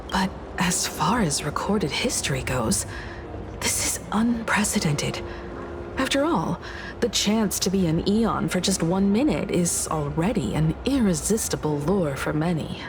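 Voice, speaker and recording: A woman voicing a composed, scholarly woman in an anime-style game, speaking with solemn, measured eloquence. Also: on the low side, noisy background. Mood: pensive. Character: composed, scholarly woman archivist, grave and analytical.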